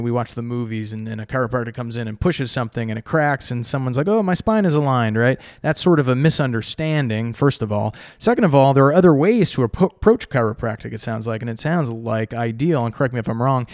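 A man's voice: medium pitch, smooth, no room sound, clean studio sound. A man hosting a podcast, summarizing his guest's points in long, rambling sentences full of fillers. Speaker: podcast host. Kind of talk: casual podcast interview.

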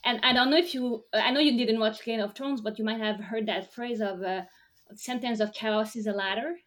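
Woman co-host, slightly high voice, chatty recap talk, laughs a lot. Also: steady tone, moderate pace. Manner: chatty, laughs often, many fillers and restarts. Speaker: podcast co-host. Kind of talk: casual chat recapping a show.

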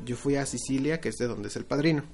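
A man's voice: medium pitch, quick pace, a little mellow, faint music behind. A young man with a Mexican accent, co-hosting a podcast and telling travel stories in a crude, joking way. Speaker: podcast co-host. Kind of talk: casual chat.

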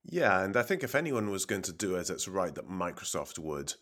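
A man's voice: deep voice, big pitch swings, a little fast, a bit bright. A man hosting a tech podcast, sounding congested from a cold, who leads the chat and wryly hands questions to his co-hosts. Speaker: podcast host.

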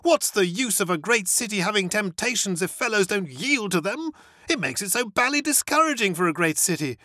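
A reader voicing a giddy young male aristocrat, breathless and exuberant, in a high, chirpy voice. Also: animated, a little fast, bright, forceful tone, no room sound, clean studio sound.

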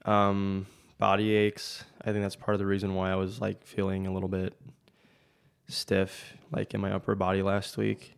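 This is a young man with a fairly low voice and some gravel in it, co-hosting a casual podcast. He talks about life as a gym coach and rambles along, filling his sentences with "like". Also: monotone, moderate pace. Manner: rambling, lots of filler "like". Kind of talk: casual chat.

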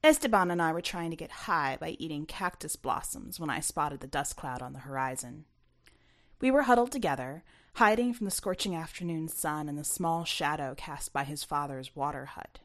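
Bright-voiced woman narrating a short story. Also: deep voice, lively intonation, moderate pace. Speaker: author reading own short story, podcast regular. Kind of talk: expressive first-person story narration.

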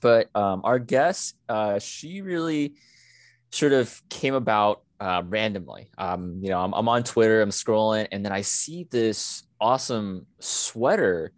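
A man's voice: lowish voice, animated, measured pace, no room sound. A man from the United States co-hosting a casual podcast, talking in an earnest, reflective way with many "you know" fillers. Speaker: podcast co-host.

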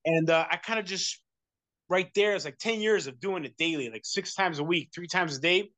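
Male interview guest, American, rambling casual delivery, fairly high voice. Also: normal speed, fairly bright tone, dry recording. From United States. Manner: rambling, many fillers and restarts. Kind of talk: casual conversation.

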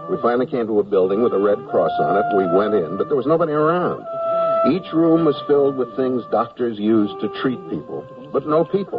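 An actor playing a wry, weary war correspondent drafted into the Army, with dry deadpan delivery in a radio comedy drama. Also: male voice, mid-range voice, close to an everyday voice. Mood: wry narration. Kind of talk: 1970s radio comedy drama acting and narration. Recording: dull lo-fi 1970s radio broadcast, music behind.

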